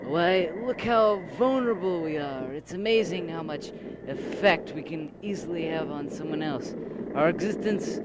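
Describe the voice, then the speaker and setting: A man's voice: fairly high pitch, moderate pace, lightly acted. A man playing a ruthless, boastful older conqueror who commands robot soldiers, in an amateur science fiction audio drama. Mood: gloating, musing. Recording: noisy 1990s home cassette recording.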